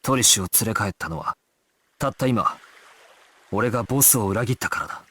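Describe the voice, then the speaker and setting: An actor playing a resolute, stoic young gangster squad leader in a Japanese action video game, speaking with solemn, commanding authority. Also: male voice, on the low side, brisk, pushed and bright, husky. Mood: grave, resolute. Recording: music behind the voice.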